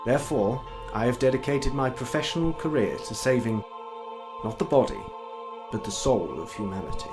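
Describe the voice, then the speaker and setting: A man playing an earnest Victorian gentleman doctor with a dry, bitter streak in a theatrical period drama. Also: mid-range voice, lively intonation, moderate pace, over music, lightly acted. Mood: earnest, resolute. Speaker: stage actor.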